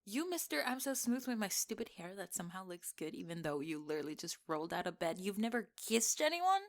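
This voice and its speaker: A young woman playing a teasing, sarcastic tomboy gamer friend in an acted ASMR roleplay, with expressive sighs and laughter. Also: mid-range voice, fast. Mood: amused disbelief. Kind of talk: acted ASMR roleplay, one-sided conversation.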